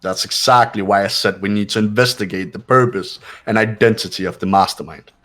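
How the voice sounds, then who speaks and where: A man plays a young detective type from a video game, low-voiced and analytical. He speaks formally and with total certainty over faint music. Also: brisk, a bit bright, natural voice. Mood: cool, certain. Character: cool, analytical, authoritative young male student sleuth. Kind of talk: amateur voice acting of a video game.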